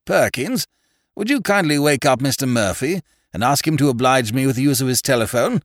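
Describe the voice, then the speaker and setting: Man acting a fussy, courteous old solicitor, lively pitch. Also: a little fast, no echo, studio quality, lightly acted. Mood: excited. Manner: formal, reproving, polite. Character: fussy, courteous older male family solicitor.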